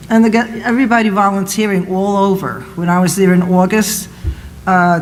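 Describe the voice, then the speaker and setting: Loud high-pitched guy on a panel, rambling, hall echo, bit of hiss. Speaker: panelist, college president. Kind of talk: panel discussion answer. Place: public event hall. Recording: roomy hall reverb, faint noise.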